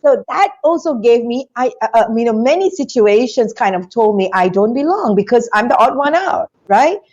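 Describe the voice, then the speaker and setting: A woman's voice: fairly high pitch, moderate pace, highs cut off. A middle-aged woman, telling her life story as a guest on a video-call interview with a lapel mic in a small room. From Singapore. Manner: animated storytelling with hand gestures. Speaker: guest, business school founder.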